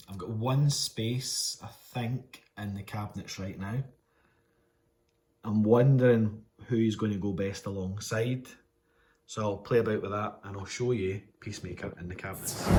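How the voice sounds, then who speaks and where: Scottish male vlogger, very low voice, rambling casual delivery. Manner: chatty, rambling with fillers and restarts. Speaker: toy collector channel host. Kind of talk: casual unboxing vlog. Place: home room with display cabinets.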